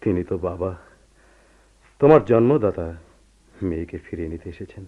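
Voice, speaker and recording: A man playing an authoritative yet caring senior office boss in a radio drama, with a measured, persuasive way of talking. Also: deep voice, animated. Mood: pleading. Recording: dull lo-fi radio drama recording.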